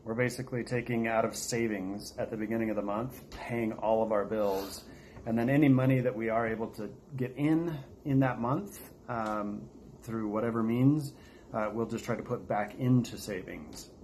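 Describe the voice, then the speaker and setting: American guy with a lowish voice doing a casual vlog, some noise in the background. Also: normal speed. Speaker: vlog host. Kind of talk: casual chat to camera. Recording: steady noticeable background noise.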